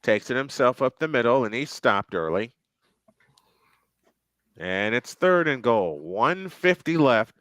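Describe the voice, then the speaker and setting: Male play-by-play caller, chatty between plays, crisp and dry. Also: medium pitch, very animated, takes it slowly, pauses often, fairly bright tone, no room sound. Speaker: play-by-play commentator. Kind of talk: live football commentary.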